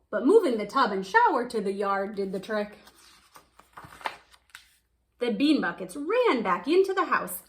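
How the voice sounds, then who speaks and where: A woman's voice: a little high, a bit bright. A woman reading a picture book aloud, telling the story expressively and stretching words for emphasis. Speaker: children's storybook reader.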